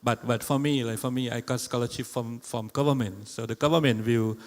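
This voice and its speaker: A man chatting casually with an audience in a university lecture hall, explaining things and fielding questions with relaxed fillers and restarts. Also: medium pitch, a little fast. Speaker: lecture moderator and interpreter. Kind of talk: casual Thai and English Q&A talk.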